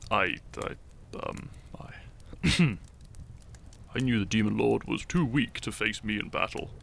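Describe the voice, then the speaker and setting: A man playing a shy, teasing, lovestruck young hero in an intimate romantic audio roleplay, speaking softly and hesitantly with small stammers. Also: lowish voice, a little slow, slight background noise. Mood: teasing, bashful. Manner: soft, hesitant, stammering, breathy sighs and chuckles.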